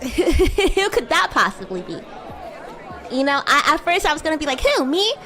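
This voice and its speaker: A woman's voice: high voice, sing-song, normal speed, bright, forceful tone, a faint crowd. A woman streamer improvising a roleplay as a bratty demon schoolgirl classmate, with a playful, teasing, giggly manner. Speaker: VTuber streamer. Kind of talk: improvised roleplay livestream chat.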